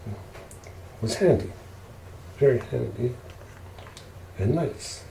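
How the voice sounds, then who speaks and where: A man telling casual ASMR stories in an unhurried, rambling way. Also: medium pitch, lively intonation, vocal fry, mellow. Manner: unhurried, rambling family reminiscing. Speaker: ASMR storyteller. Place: indoors, roomy space while preparing food. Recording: roomy echo, faint background noise.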